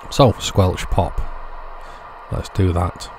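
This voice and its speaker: A man's voice: sits low, very slow, darkish tone, a little hiss or hum. A man casually narrating a hands-on repair, explaining each step in a matter-of-fact way. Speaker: host of radio repair channel.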